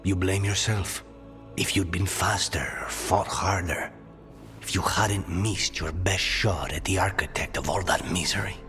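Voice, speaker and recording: Intense brooding game companion, very low voice, hall reverb, soft music. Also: male voice, fairly even intonation, a little slow. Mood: brooding, resolved. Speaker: video game character actor. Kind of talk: dramatic fantasy game dialogue.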